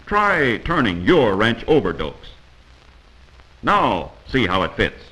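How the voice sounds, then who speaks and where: A man's voice: medium pitch, huge pitch swings, slow pace, bright, forceful tone, breathy edge, poor audio. Commanding 1940s American training film narrator, man. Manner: instructive, commanding, corrective. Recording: vintage 1940s film soundtrack.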